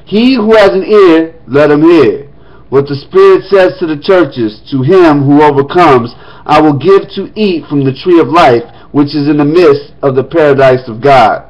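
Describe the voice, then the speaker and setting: A man reading scripture aloud in a steady voice, now and then breaking off into casual asides to his listeners. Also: fairly high pitch, moderate pace. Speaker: scripture reader. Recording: dull lo-fi recording.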